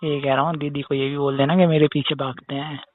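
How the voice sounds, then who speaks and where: A man's voice: on the high side, flat delivery, fairly quick, slight background noise. A man chatting casually on a private phone call in a teasing, persistent, questioning manner. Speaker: caller, brother-in-law. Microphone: phone call recording. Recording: tinny, muffled phone line with echo.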